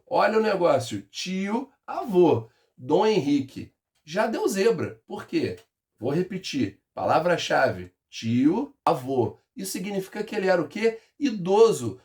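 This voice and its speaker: A man's voice: on the high side, a little mellow. A man with a Carioca accent teaching a casual history review, telling it as a joking story full of slang. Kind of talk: casual exam review lesson.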